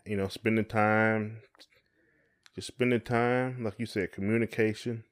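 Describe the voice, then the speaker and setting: A man's voice: fairly low pitch, fairly even intonation, a little slow. A man co-hosting a podcast in casual conversation, talking in a folksy way and often repeating his phrases. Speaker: podcast co-host.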